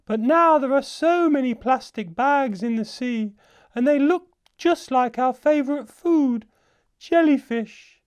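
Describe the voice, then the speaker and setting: A woman playing a huge, gentle, very old female leatherback turtle in a storybook, speaking slowly and deliberately. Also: high-pitched, pitched above the performer's own voice. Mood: solemn. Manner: slow, deliberate, drawn-out delivery. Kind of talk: storybook character voice.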